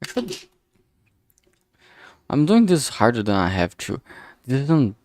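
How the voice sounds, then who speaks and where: A man thinking aloud in a casual, halting way while drawing on a stream, talking mostly to himself. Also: medium pitch, very animated, darkish tone, no echo, studio quality. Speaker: streamer making visual novel art.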